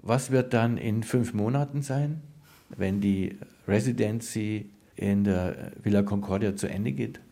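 A man interviewing someone, putting prepared questions in a measured, inquiring manner. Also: fairly low pitch, gravelly, dark tone.

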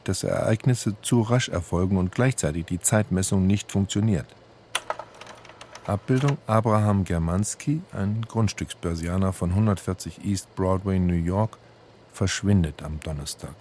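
Male narrator, low and dark-toned, factual documentary-style reading, slight background noise. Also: moderate pace. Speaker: reader.

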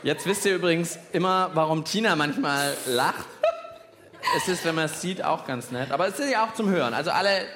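A man's voice: a little high, expressive, fairly quick, background noise. A man co-hosting a children's science podcast live on stage, chatting off the cuff in a jokey, musing, self-deprecating way. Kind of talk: playful unscripted live show talk.

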